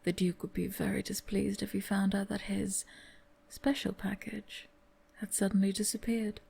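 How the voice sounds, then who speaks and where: A woman playing a dutiful masked female butler in an audio roleplay drama, speaking in a soft, formal whisper. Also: mid-range voice, little pitch movement, normal speed, vocal fry, breathy edge, heavily acted. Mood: hushed.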